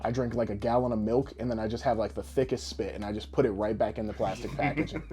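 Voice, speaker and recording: A man's voice: fairly low pitch, steady tone, fast talker, mellow, slight background noise. A man co-hosting a podcast with casual, jokey, rambling showman patter. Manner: boisterous, rambling, tongue-in-cheek showman patter. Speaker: podcast co-host.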